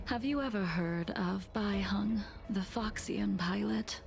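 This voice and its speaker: An actress voicing a calm, weary and wistful older woman in a game, speaking slowly and teasing gently. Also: mid-range voice, gravelly. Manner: slow, measured, gently teasing. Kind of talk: game voice acting. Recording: game score playing underneath.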